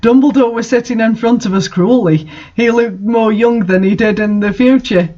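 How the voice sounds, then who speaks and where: High-voiced man, dull lo-fi recording. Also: normal speed, darkish tone. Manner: mocking, exasperated, sweary asides. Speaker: host reading bad fan fiction aloud. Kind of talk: dramatic reading with amused, incredulous commentary.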